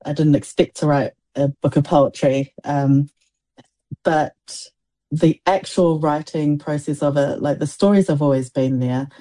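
Low, mellow-voiced woman in her forties. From Samoa. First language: Samoan. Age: 40s. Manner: thoughtful, halting, many restarts and fillers. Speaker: poet, guest. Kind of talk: reflective interview answers.

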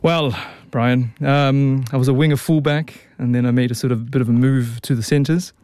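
A man's voice: mid-range voice, fairly even intonation, moderate pace, a little mellow, clear, resonant tone, no echo. A young man with a New Zealand accent, an opera tenor chatting as a radio guest, with lots of restarts and fillers. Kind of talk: relaxed radio interview.

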